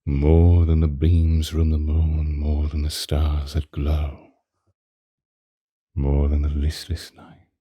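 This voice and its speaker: A man playing an ancient, courtly vampire lord and devoted lover in a romantic ASMR roleplay, speaking in a breathy, intimate, theatrical way with formal old-fashioned diction. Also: very deep voice, monotone, slow, mellow, no room sound, clean studio sound, heavily acted. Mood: possessive, romantic. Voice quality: breathy, husky.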